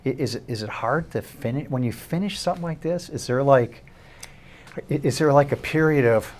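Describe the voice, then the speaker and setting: A man's voice: mid-range voice, brisk, darkish tone. Stammering middle-aged male talk show host. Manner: stammering restarts, friendly questions. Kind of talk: casual interview chat.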